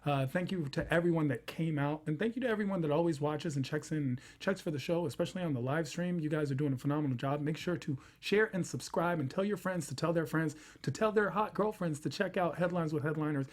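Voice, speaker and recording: A man's voice: on the high side, quick pace, few pauses. Joking male talk-show host. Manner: joking, animated, talks over others. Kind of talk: casual panel chat.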